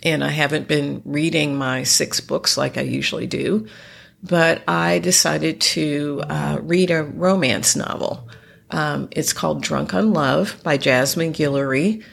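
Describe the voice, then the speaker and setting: A woman's voice: deep voice, fairly even intonation, measured pace, vocal fry. A woman hosting her podcast alone, sounding congested and nasal as she recovers from illness, rambling with many ums and restarts. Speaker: podcast host. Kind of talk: casual solo podcast talk.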